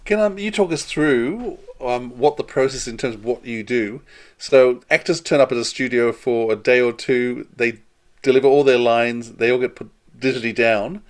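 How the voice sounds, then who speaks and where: A man's voice: medium pitch, moderate pace. A man hosting a podcast, putting questions to a guest in a conversational interview style.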